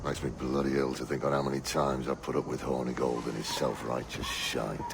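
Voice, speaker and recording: An actor playing a bitter, hot-tempered pirate captain in a video game, with a gravelly voice and a blunt, scornful, cursing manner. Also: male voice, extremely low pitch, little pitch movement, a little fast. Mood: furious, bitter. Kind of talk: video game character acting. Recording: noisy game ambience behind voice.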